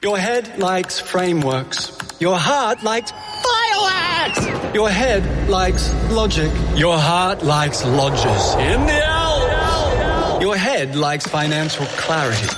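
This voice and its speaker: Lively, lightly high Australian male ad voice. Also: takes it slowly, over loud music. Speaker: commercial voice-over. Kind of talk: scripted bank advertisement with paired slogans.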